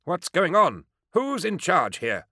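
A man's voice: mid-range voice, expressive, moderate pace, fairly bright tone, no room sound, clean studio sound, voice pushed up, close to an everyday voice. A man voicing a haughty, querulous academic, pompous and imperious, in an audiobook. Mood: indignant. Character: haughty, querulous male academic doctor, piqued and self-important.

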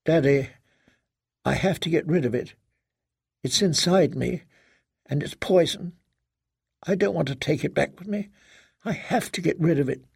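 A man voicing a frightened, fragile grown daughter in an audiobook, halting and stammering as she pleads like a child. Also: on the high side, gravelly, darkish tone, slightly rough, dry recording, clean studio sound, voice pushed up, heavily acted. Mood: fragile, tearful. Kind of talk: dramatic audiobook character reading.

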